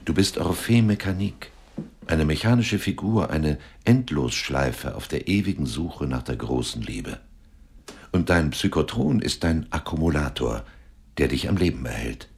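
A mysterious, all-knowing male gatekeeper in a surreal radio drama. His voice is extremely deep, gravelly and husky. He delivers ominous pronouncements with weighty pauses. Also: animated, darkish tone, full character voice. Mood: knowing, solemn.

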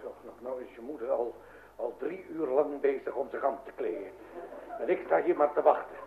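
A man's voice: mid-range voice, normal speed, close to an everyday voice. An actor playing a flustered, grumbling middle-aged father who stammers and repeats himself in a radio comedy. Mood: impatient. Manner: blustering, stammering, repetitive. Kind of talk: old radio comedy-drama acting. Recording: tinny, noisy old radio recording.

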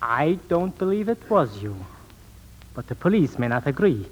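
An actor in a 1940s radio drama playing a sinister, bitter small-time swindler and phony psychic, with formal, theatrical diction. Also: male voice, fairly high pitch, sing-song, moderate pace. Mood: insinuating. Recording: muffled 1940s radio recording.

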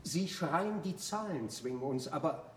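A man's voice: on the high side, animated, unhurried. An actor playing a zealous, indignant churchman in a radio play, arguing insistently in an oratorical, emphatic manner. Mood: insistent, grave. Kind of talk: German theatrical radio play acting. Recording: roomy, slightly noisy radio play recording.

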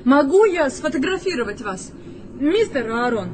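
A woman's voice: high voice, sing-song, normal speed, background noise. An actress playing an anxious, devoted woman photographer in an audio drama. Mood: brisk, insistent.

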